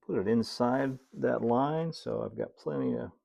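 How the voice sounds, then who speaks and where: A middle-aged American man giving a casual hands-on tutorial, unhurried and folksy, thinking aloud as he works. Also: mid-range voice, creaky, warm tone. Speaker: host, model railroad builder. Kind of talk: casual hands-on tutorial talk while working. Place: indoor hobby workshop at a cluttered workbench and layout.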